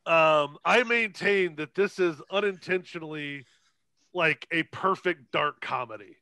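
A man's voice: fairly high pitch, a little slow, sharp tone, dry recording. A man co-hosting a podcast, chatting casually about films in a profane, irreverent way. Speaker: podcast co-host. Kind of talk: casual, unscripted film discussion.

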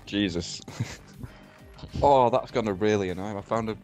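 A man chatting casually over online gameplay, teasing his friend in a dry, deadpan way. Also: fairly low pitch, normal speed, faint music behind. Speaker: gaming commentator. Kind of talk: casual gaming chat. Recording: noisy game audio behind. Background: gunfire and game sounds.

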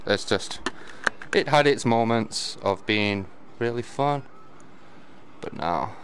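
A man's voice: medium pitch, takes it slowly, slight background noise. A man giving casual live game commentary, slangy and sweary, muttering to himself and breaking into exclamations. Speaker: game streamer.

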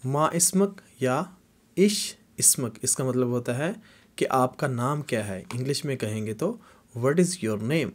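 A man from Pakistan filming a casual language lesson in a small room, repeating example phrases slowly and raising his voice on them. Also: medium pitch, expressive, slight background noise. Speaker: language teacher.

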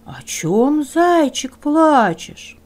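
A woman's voice: high voice, big pitch swings, drawn-out words, a little mellow, voice pushed up, heavily acted. A woman voicing a big, kindly male bear with a firm storybook delivery.

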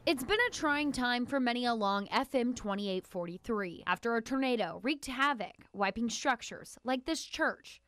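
A woman's voice: a little high, animated, normal speed, hardly any pauses, fairly bright tone, dry recording. A young woman reporting the news in a TV studio, with a polished, articulate delivery. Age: young adult.

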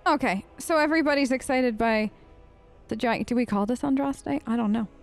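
A woman's voice: a little high, fairly quick, soft background music. A woman hosting a let's play with casual, wry, hesitant role-play commentary, talking in character.

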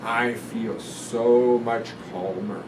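A man in his seventies reading a children's book aloud in a gentle, deliberate, instructive way, guiding breathing exercises with pauses between phrases. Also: medium pitch, steady tone. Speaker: guest doctor reading a picture book aloud. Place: indoor room in front of a sequined curtain. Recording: roomy, distant pickup with noticeable ventilation hum.

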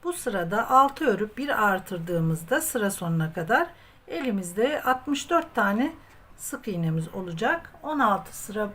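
A woman's voice: mid-range voice, very animated, measured pace, fairly bright tone. A woman with a mid-range voice teaches a craft as a tutorial host. She goes step by step, patient and methodical, in the manner of someone guiding viewers through handwork. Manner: patient, methodical, often counting aloud.

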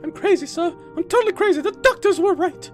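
A let's player voicing an anxious, gentle young woman from a game, earnest, polite and nervous. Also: very high-pitched, quick pace, soft background music. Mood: tearful, earnest.

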